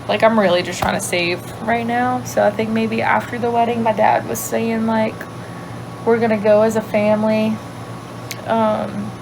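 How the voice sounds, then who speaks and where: A woman's voice: medium pitch, vocal fry, background noise. Chatty woman with a light Cajun lilt. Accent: light Cajun Louisiana. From Louisiana. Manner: chatty, thinks aloud, trails off into quiet asides. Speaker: livestream host. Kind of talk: casual livestream chat answering viewers. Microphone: phone.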